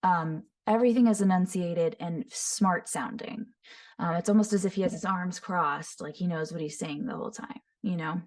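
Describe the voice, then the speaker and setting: A woman voice actor teaching voice impressions, describing each voice in short phrases and often breaking into quick character demos. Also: fairly low pitch, darkish tone. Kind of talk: voice impression tutorial. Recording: voice somewhat far from the mic.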